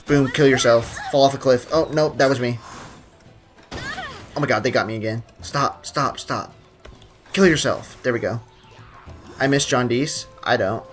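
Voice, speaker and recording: A man's voice: medium pitch, normal speed, long pauses. Guy streaming a game, goofy slangy commentary, game music in the back. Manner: joking, playful, slangy. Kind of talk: live gameplay commentary.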